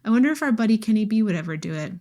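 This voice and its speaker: A woman co-hosting a podcast, chatting casually and informally about books in an animated, giggly way. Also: medium pitch, racing. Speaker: podcast co-host. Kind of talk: casual book chat.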